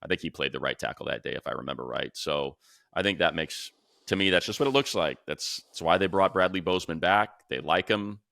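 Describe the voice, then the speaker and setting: A man's voice: low voice, fairly quick, vocal fry, sharp tone, no room sound, studio quality. A man hosting a sports talk show, casually analysing in an emphatic, conversational way and repeating points for stress.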